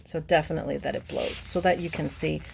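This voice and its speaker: A woman's voice: deep voice, steady tone, fast talker, creaky, a little hiss or hum. A woman reading a picture book aloud to children, adding simple teacherly explanations and putting questions to the listener. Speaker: children's read-aloud presenter.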